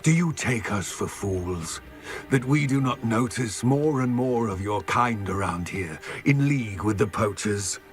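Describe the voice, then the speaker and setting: Fantasy game centaur: low, slow, stern, suspicious of outsiders, formally accusing. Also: male voice, expressive, faint music behind. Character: stern, suspicious male centaur guarding the forest. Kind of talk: video game cinematic acting.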